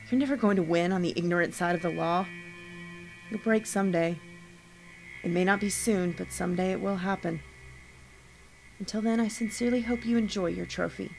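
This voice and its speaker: A woman playing a cold, gloating serial killer delivering a deliberate, mocking taped message in an audio drama. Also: lowish voice, creaky, rough recording. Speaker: voice actor. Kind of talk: crime audio drama monologue.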